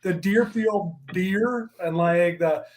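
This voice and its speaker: High-voiced man riffing playfully. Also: slow. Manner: playful, rambling riffs. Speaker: podcast co-host. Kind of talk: casual chat.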